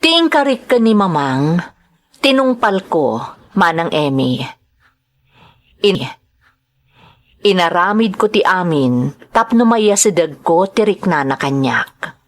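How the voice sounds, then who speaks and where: A woman playing an earnest, dutiful carer in a family audio drama, speaking with measured emotion. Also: on the low side, very animated. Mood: earnest, sorrowful. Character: earnest, dutiful woman explaining her care for a mother. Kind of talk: Ilocano audio drama acting.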